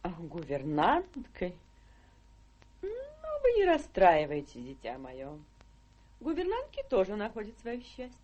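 An actress in a radio play voicing a doting, excitable middle-aged mother with a gushing manner. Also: medium pitch, unhurried, muffled recording. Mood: kindly. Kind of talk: theatrical radio play acting.

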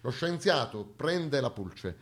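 A man's voice: medium pitch, sing-song, takes it slowly. A man performs satirical theatre. He delivers a mock lecture, breaking off for ironic asides to the audience. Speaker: stage performer, satirical monologist.

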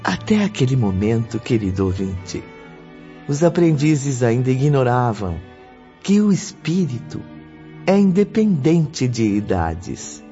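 An actor playing a solemn narrator of a radio drama, telling the story in a formal, deliberate manner. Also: male voice, mid-range voice, mellow, close to an everyday voice. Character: solemn male storyteller addressing the listener. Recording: dull lo-fi audio. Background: background music.

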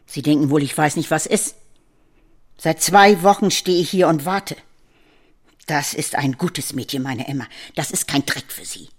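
An actress playing a gruff, commanding middle-aged working-class mother who scolds and accuses in a radio drama. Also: low voice, moderate pace. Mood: accusing, indignant. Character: scolding, suspicious working-class mother guarding her daughter. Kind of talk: German radio drama acting.

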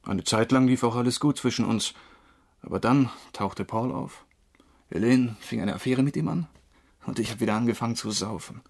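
An actor playing a troubled former drinker, wrongly convicted, confessing haltingly in a crime radio drama, with a husky voice. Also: male voice, mid-range voice, a little mellow, lightly acted. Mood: sombre, reflective. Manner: halting, brooding.

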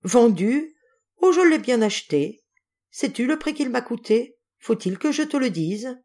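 A woman's voice: lowish voice, very animated, unhurried, a little mellow. A woman voicing a menacing, feverishly exultant husband possessed by evil in a dramatic story reading. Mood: feverish gloating. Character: possessed husband, feverish, menacing, gloating over cursed violin.

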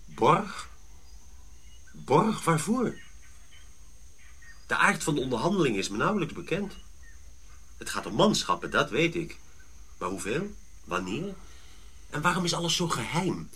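An actor playing an earnest, principled Dutch colonial adjutant who speaks deliberately in a radio drama. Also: male voice, on the high side, sing-song, sharp tone, breathy edge, full character voice. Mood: doubtful, probing.

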